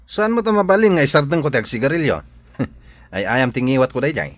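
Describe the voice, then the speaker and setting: A man's voice: medium pitch, sing-song, a little fast, no echo, close to an everyday voice. A man acting in a comedic radio drama as a touchy, teasing village smoker, blustering in an Ilocano accent. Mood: defensive.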